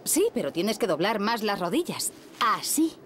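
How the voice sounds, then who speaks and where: Actress as patient preschool-cartoon mum: Castilian accent, mid register, expressive and reassuring. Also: female voice, mid-range voice, normal speed, heavy background noise, lightly acted. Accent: Castilian Spanish. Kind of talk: children's cartoon dub acting.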